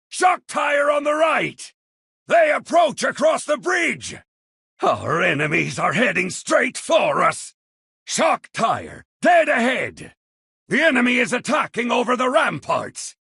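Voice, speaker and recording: An actor playing a brash, blustering Viking warrior with a raspy, gravelly voice in a video game. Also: male voice, pushed and bright, no echo, studio quality, full character voice. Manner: booming, blustering battle cries. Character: bellowing, brash Viking warrior defending a castle. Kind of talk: video game character voice lines.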